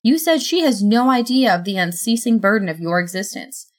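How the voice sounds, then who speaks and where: A woman voicing a tall, brash young woman yelling in screechy, angry bursts. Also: mid-range voice, lively intonation, fairly quick, pitched above the performer's own voice, natural voice. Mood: pleading, defiant.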